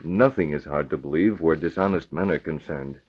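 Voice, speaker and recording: An actor playing a confident, stern masked vigilante in an old radio drama, clipped and sardonic. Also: male voice, rumbling low, moderate pace, husky. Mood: determined. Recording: muffled vintage radio recording.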